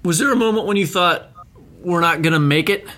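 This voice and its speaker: A man's voice: high voice, brisk, sharp tone. A man co-hosting a literary podcast, introducing guests and asking questions in an upbeat, joking way. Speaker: podcast co-host. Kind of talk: podcast interview.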